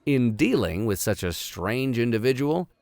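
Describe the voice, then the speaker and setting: A man's voice: mid-range voice, very animated, normal speed, creaky, a little mellow, no echo. A man reading a classic novel aloud as host, dropping irreverent, slangy asides into the formal narration. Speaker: host and reader. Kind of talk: audiobook reading with joking improvised asides.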